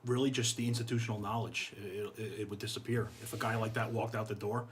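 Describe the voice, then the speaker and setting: A man's voice: fairly low pitch, fairly even intonation, quick pace. A middle-aged American man speaking casually and earnestly as an interview guest, repeating phrases for emphasis. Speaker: guest, fire chief. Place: podcast studio, indoors. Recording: clear background noise, room ambience.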